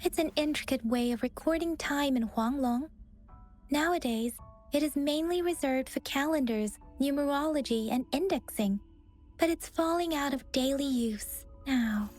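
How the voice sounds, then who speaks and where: A woman's voice: high-pitched, moderate pace, a little mellow, soft background music, lightly acted. A woman voicing an earnest, studious young investigator in a video game, explaining things thoughtfully. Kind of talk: video game character dialogue.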